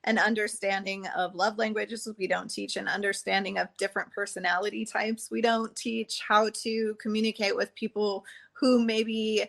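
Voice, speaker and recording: A woman's voice: mid-range voice, flat delivery, normal speed, creaky, fairly bright tone. Woman hosting, explaining earnestly. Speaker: podcast host and trauma practitioner. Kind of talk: conversational podcast interview.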